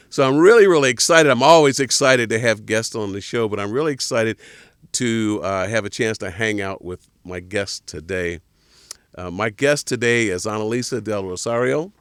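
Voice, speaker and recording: A man's voice: lowish voice, smooth, no echo. A man hosting a podcast interview in a casual, upbeat way, sometimes stumbling over his words. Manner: upbeat, bursts of excitement, frequent restarts. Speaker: podcast host.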